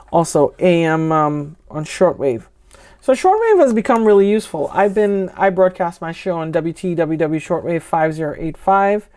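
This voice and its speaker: A woman's voice: on the low side, a little mellow. A woman from the United States giving a casual, unscripted product review as a vlogger, conversational and explanatory, with trailing asides.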